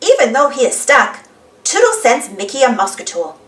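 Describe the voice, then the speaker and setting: Woman, children's storybook narrator, high pitch, animated delivery, roomy recording a little far from the mic. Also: moderate pace. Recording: roomy, voice away from microphone.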